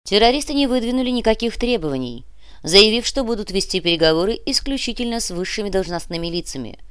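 A woman's voice: mid-range voice, animated, quick pace, fairly bright tone, clean tone, dry recording, studio quality, pitched above the performer's own voice, lightly acted. A woman voicing an urgent radio news reporter in an audiobook, with an emphatic, formal bulletin delivery.

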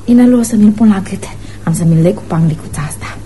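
A woman's voice: medium pitch, warm tone, a little hiss or hum, lightly acted. An actress playing a playful, frank, impulsive schoolgirl of almost eighteen, in love, in a girlish, teasing radio theatre style. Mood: soft, confiding.

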